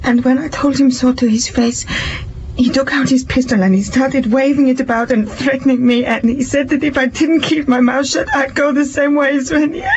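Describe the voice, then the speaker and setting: Woman acting a high-voiced, distraught, scheming lady. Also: fairly even intonation, normal speed, darkish tone, highs cut off, slight background noise, close to an everyday voice. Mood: agitated, accusing. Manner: dramatic, pleading. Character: distraught, scheming woman posing as a frightened victim. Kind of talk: audio drama acting.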